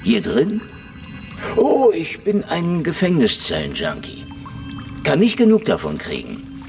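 A man's voice: on the high side, very animated, a little slow, gravelly, darkish tone, soft background music, exaggerated voice. A man playing a sarcastic, defiant prisoner in a German radio play, with a mocking, flippant delivery. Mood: sardonic. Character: sarcastic, defiant male prisoner in a Moscow cell.

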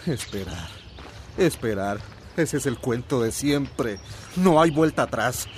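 An actor playing a determined, grieving village activist in a radio drama, insistent and repeating himself. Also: male voice, medium pitch, animated, slow, slight background noise. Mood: resolute, brooding.

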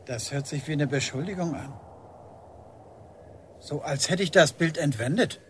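A man's voice: on the high side, unhurried, slight background noise, natural voice. A man acting in a radio drama as a stern, stubborn middle-aged village policeman and father, curt, defensive and menacing. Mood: bitter, defensive.

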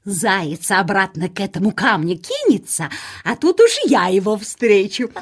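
A sly old tortoise. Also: female voice, medium pitch, slightly rough. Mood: scheming. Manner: calm, gently sly, unhurried. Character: sly, kind older female tortoise. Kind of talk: children's audio play acting.

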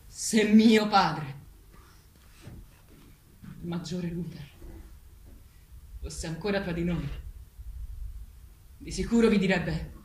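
A woman's voice: lowish voice, slow, long pauses, darkish tone. An actress playing a female farm animal who leads a revolution, declaiming solemnly like a manipulative preacher in a stage play. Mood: solemn, self-sacrificing. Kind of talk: theatrical stage monologue, political oratory. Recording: echoey stage, distant mic, faint noise.

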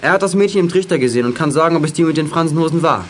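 Young male actor as a fast-talking, bossy teenage boy detective. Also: fairly high pitch, a little hiss or hum, close to an everyday voice. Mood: decisive. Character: decisive teenage boy, leader of a detective gang. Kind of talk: 1980s German children's audio drama acting.

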